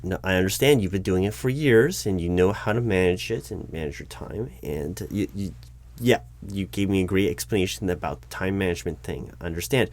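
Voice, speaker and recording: A man playing a patient, probing hiring manager in a one-sided interview roleplay, speaking aloud in a halting, improvised way and repeating words. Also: sits low, darkish tone, lightly acted. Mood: questioning. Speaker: job interviewer. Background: computer keyboard typing.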